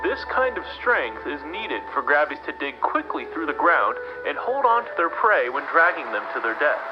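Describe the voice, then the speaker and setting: A man's voice: a little high, big pitch swings, moderate pace, highs cut off. A man reading scripted mock research documentary narration for an analog horror piece in a formal, clinical manner. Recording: music behind the narration. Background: loud music.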